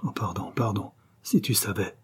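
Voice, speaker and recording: A man voicing a remorseful young male convict who pleads in a hushed, broken murmur. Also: on the low side, moderate pace, slightly rough. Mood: pleading.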